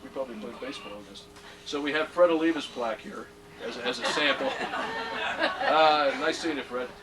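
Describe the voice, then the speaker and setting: A man's voice: medium pitch, fairly quick, a crowd in the background. A middle-aged man presenting at a hall of fame induction, genial, with joking asides, reading aloud from a plaque. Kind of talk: ceremony speech. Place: ceremony hall. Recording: distant mic, noisy room.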